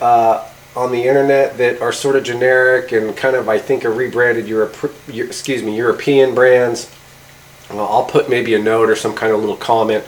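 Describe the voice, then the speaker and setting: Casting: middle-aged male garage host, mid-pitched, informal and halting, faint ambient noise behind. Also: poor audio. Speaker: host of a radio gear review channel. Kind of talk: casual product test vlog. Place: outdoors by a garage door, later a garage workshop.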